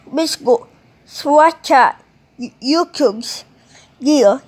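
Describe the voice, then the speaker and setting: A woman talking casually in a halting, rambling way, with mumbled phrases, as in an improvised home video. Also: high voice, lively intonation.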